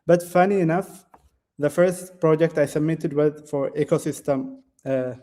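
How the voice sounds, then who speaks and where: A young man from Ethiopia in his twenties, a guest developer, answering interview questions in a classroom with halting phrasing, many fillers and restarts. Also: a little high, steady tone, dark tone. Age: 20s.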